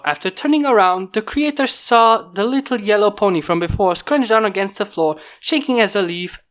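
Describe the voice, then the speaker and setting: A medium-pitched narrator reads a fan fiction story aloud. The delivery is scripted storytelling, moving through descriptive prose as in an amateur audiobook. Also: fairly bright tone.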